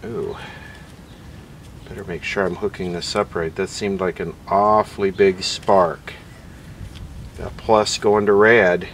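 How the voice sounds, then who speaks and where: A man's voice: low-pitched, fairly even intonation, slow pace, long pauses, a bit bright, slight background noise. A man giving casual, unscripted hands-on commentary, rambling a little as he thinks aloud. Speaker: vlogger demonstrating vintage radio equipment.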